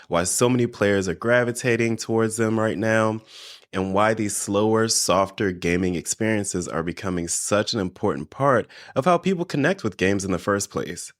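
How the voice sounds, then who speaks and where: A man's voice: on the low side, a bit bright. A man hosting a casual solo podcast, congested from allergies and a little nasal, chatting directly to his listeners. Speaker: podcast host.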